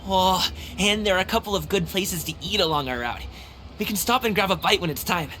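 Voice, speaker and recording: A man's voice: high-pitched, animated, fairly quick, bright, forceful tone, a little hiss or hum. A man playing a cheerful, friendly young male guard in a video game, chatty, upbeat and reassuring. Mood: upbeat, eager. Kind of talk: animated video game voice acting.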